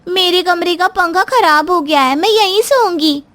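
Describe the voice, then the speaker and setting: Woman doing a high-pitched, childlike naive little sister. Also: moderate pace, fairly bright tone. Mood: stubborn. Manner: childish, simple, insistent. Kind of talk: Hindi moral story cartoon voice-over.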